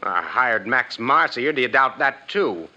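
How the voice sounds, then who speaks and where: An actor playing a brash, defiant young medical student in a 1950s radio drama, talking in a slangy way. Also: male voice, medium pitch, lively intonation, a little slow, sharp tone. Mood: challenging. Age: young adult. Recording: muffled vintage radio recording.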